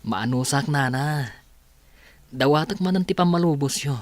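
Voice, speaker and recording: A man's voice: fairly high pitch, normal speed, no room sound, close to an everyday voice. An actor with an Ilocano accent playing an earnest young man courting a woman, in a radio drama. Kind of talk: radio drama acting and closing narration.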